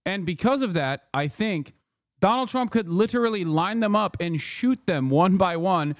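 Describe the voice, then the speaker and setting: A man in his thirties, speaking a little high, reacts to news footage on a livestream. He is sarcastic and swears freely, and his close mic sounds dry with no room around it. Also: animated, moderate pace, dry recording. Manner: sarcastic, profane, talks over clips. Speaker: streamer reacting to news clips. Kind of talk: casual livestream commentary.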